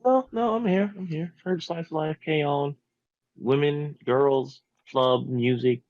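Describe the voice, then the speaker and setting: A man's voice: fairly high pitch, takes it slowly, no echo. A man co-hosting a podcast in casual chat with friends, on dull, lo-fi sound. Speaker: podcast co-host.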